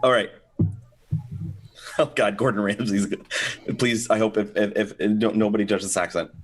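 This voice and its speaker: A man's voice: lowish voice, steady tone, normal speed, slightly rough. A man performer in casual, informal chat.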